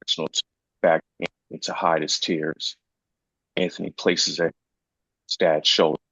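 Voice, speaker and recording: A very low-voiced man narrates screenplay directions slowly. His voice is husky, and the sound is dull, picked up in a roomy space. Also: little pitch movement, sharp tone. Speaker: actor reading stage directions. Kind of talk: scripted narration at a live table read. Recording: muffled, echoey room pickup.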